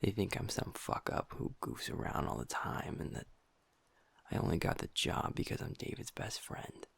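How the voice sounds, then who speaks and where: A man performs a young werewolf who has become his pack's second in command, low-pitched and heavily gravelly. His voice stays breathy and husky, close to a whisper. He swears freely and keeps blaming himself, in the style of an intimate audio roleplay. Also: very animated, moderate pace, no echo, full character voice. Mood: frustrated. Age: young adult. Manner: soft, hushed, swearing, self-critical.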